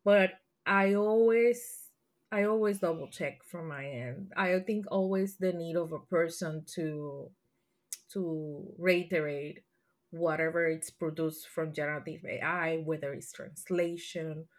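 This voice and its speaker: A woman from Puerto Rico with a Puerto Rican Spanish accent, a guest answering interview questions thoughtfully, with frequent restarts and soft laughs. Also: low-pitched, steady tone, measured pace. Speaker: podcast guest.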